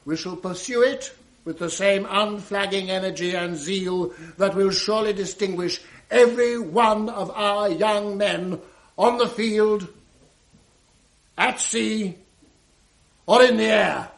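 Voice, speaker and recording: An actor playing a pompous elderly British school headmaster who gives a formal speech in a radio drama. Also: male voice, high voice, slow, gravelly, full character voice. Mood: rousing, solemn.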